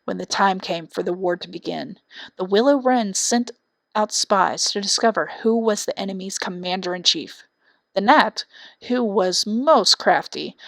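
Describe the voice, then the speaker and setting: A woman's voice: mid-range voice, creaky, a bit bright, no room sound. A woman reading a scripted children's fairy tale as an audiobook narrator.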